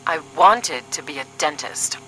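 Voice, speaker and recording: Woman playing a bright-voiced, sardonic young agent. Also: deep voice, animated, unhurried, slight background noise, rough recording. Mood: wistful. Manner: dry, sarcastic, serious. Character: sardonic, duty-minded young female paramilitary agent, weary sister. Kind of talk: scripted audio drama dialogue.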